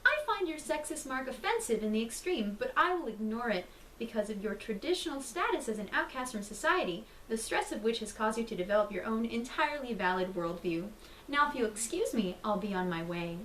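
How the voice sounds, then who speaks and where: A woman's voice: fairly high pitch, animated, a little fast, a bit bright, reverberant, recorded from a distance, slight background noise, low-quality recording. A woman voicing a prim, self-righteous young storybook girl with a preachy, emphatic manner. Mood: offended.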